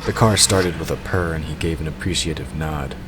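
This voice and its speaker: A man's voice: very deep voice, a little fast. A man reading scripted fantasy narration for an audio drama. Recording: clearly noisy background ambience.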